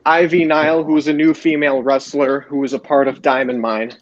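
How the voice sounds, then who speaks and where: A man with a slightly high voice hosts a casual sports recap. He talks haltingly and repeats his phrases. The sound is dull and lo-fi, like an online voice call. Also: little pitch movement. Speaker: podcast host. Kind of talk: casual wrestling show recap. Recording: roomy, dull lo-fi voice chat.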